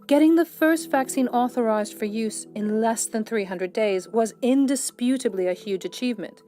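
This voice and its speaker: Lady reporter, slightly high voice, clear scripted read, soft music under. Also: moderate pace.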